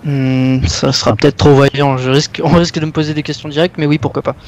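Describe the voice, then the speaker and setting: A man playing a tabletop game, calmly laying out plans. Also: on the high side, brisk. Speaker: player. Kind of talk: casual play at a tabletop game.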